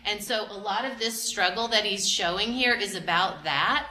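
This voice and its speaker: Bright, echoey woman teaching an art discussion. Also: mid-range voice, moderate pace. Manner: encouraging, prompting with questions, repeats answers back. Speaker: museum educator teaching children. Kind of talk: interactive art discussion with a class. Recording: roomy echo, light background noise.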